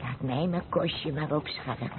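A woman's voice: sits low, a little slow. An actress playing a humble, chatty poor door-to-door woman, stammering and repeating words, in an old radio play. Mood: chatty, self-effacing. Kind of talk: old radio drama acting. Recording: tinny, noisy old radio play recording.

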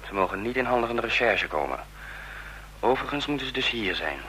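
Secret agents' boss, fast, authoritative, curt, radio drama, noisy recording. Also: male voice, medium pitch, expressive, pushed and bright. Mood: brisk, commanding. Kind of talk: Dutch radio play acting.

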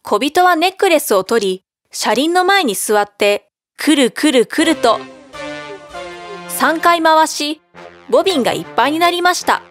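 A woman narrating a bedtime fairy tale in an expressive storytelling voice. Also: sits high, moderate pace, no echo. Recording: background music.

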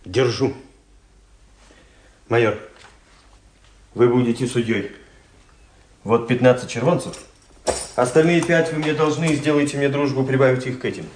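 Radio play casting: male actor as self-possessed officer and gambler, solemn, measured delivery, mid-range male voice. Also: medium pitch, steady tone, darkish tone, slight background noise, close to an everyday voice. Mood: cool, decisive. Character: calm, fatalistic Serbian officer who loves gambling.

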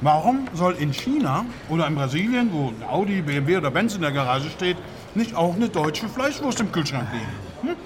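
A blustering pig farmer with a high voice that swings widely as he argues. He is defensive and aggrieved, speaking in a documentary-style radio play over clear background ambience. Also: sing-song, brisk, background noise. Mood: stubborn, argumentative. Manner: blustering, grumbling, swears.

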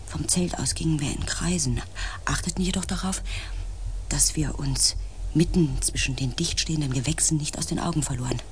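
A male actor playing an anxious member of a space expedition in a radio play, telling the story in a suspenseful, hushed manner. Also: fairly high pitch, normal speed, a little hiss or hum, lightly acted. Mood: eerie, hushed. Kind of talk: radio play acting with first-person narration.

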